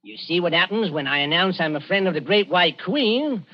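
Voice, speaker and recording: A man's voice: high-pitched, lively intonation, normal speed. An actor playing a cheeky Cockney magician working as a British agent, with breezy showman's patter, in 1940s radio drama style. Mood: boastful. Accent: South London Cockney. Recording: dull old radio broadcast.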